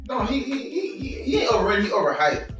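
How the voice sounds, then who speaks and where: Bright, high-voiced man, challenging. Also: big pitch swings, quick pace, recorded from a distance. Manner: challenging, emphatic, slangy. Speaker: sports podcast co-host. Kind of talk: casual sports debate. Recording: roomy, off-mic, music underneath. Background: music.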